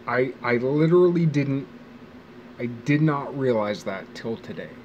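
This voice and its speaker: Casting note: man in his forties, medium pitch, casual profane vlog ramble with faint background hum. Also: unhurried, darkish tone, highs cut off. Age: 40s. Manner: casual, profane, wry, musing aloud. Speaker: vlogger. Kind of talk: rambling personal monologue. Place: outdoors near a bench.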